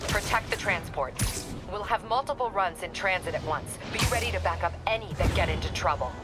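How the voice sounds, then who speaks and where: A woman's voice: fairly low pitch, fairly quick, over loud music, lightly acted. A woman playing a stern, driven police captain in a video game, giving clipped, commanding briefings. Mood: stern, urgent.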